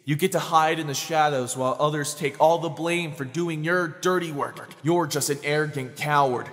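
A man's voice: on the high side, normal speed, noisy background, natural voice. A man playing a righteous, wounded young soldier in an anime dub, speaking with accusing intensity. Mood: stern, accusing. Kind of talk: anime fan dub acting. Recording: long reverb over loud music.

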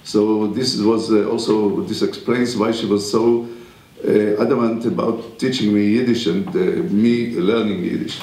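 An older man, a native Hebrew speaker, gives a university lecture at a podium mic in a lecture room, speaking haltingly with many pauses and restarts. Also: fairly low pitch, fairly even intonation, darkish tone, reverberant, rough recording. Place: wood-panelled lecture room.

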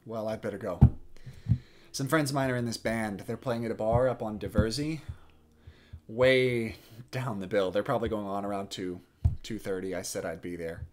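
A man's voice: lowish voice, steady tone, moderate pace, slight background noise, lightly acted. Man acting a teasing young math geek. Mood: wry, friendly. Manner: conversational, teasing. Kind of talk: contemporary stage monologue.

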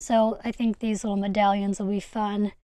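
A woman's voice: medium pitch, little pitch movement, normal speed, warm tone, clean tone, no echo. A young adult woman, hosting a casual hobby haul vlog in an enthusiastic, gushing way. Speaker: video host, hobby collector.

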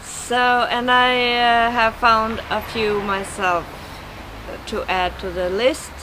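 A middle-aged woman with a Swedish accent, giving an informal word lesson to camera outdoors, speaking haltingly and pronouncing carefully. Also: on the high side, flat delivery, noisy background. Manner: slow, halting delivery with careful word-by-word pronunciation. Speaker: vlogger teaching Swedish words. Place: outdoors by a wooden fence in a garden.

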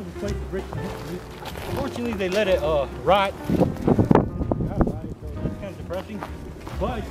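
A young man rambles and jokes as he hosts a casual vlog outdoors, his voice pitched high. The camera mic catches him in the open air while loud music plays underneath. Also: low-quality recording. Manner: rambling, filler-heavy, joking. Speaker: vlog host. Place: outdoors at an abandoned base.

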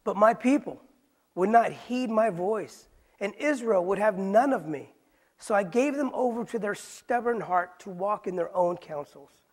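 A middle-aged male pastor preaching a sermon on a church stage, earnest and pleading, dropping soft for quoted lines and rising for emphasis. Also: high-pitched, animated, a little slow, slightly rough.

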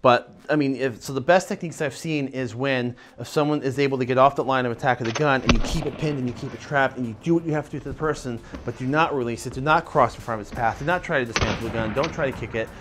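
Fast-talking earnest male martial arts teacher. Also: mid-range voice, background noise. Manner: earnest, emphatic, gestures while explaining. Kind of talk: instructional talk to camera. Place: indoor dojo-style room with lamp and screens.

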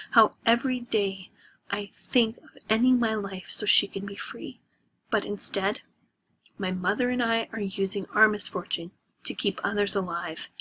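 A woman voicing a hurt, defiant teenage girl who speaks in a tense hush. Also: medium pitch, a little hiss or hum, rough recording.

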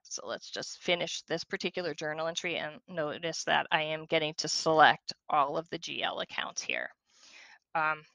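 A woman demonstrating software, walking through each step in a conversational tutorial style. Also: low voice, vocal fry, no room sound.

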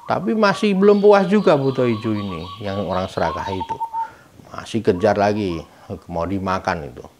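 A man's voice: lowish voice, animated, moderate pace. A middle-aged man informally explaining a folk tale's meaning in a didactic way, posing questions and answering them himself. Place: room with bare walls, indoors, seated. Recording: clear background noise, birds cooing.

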